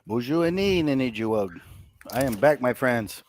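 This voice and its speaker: A man chatting casually as a podcast guest, telling stories in a joking, animated way. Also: mid-range voice, normal speed, slight background noise. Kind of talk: casual chat.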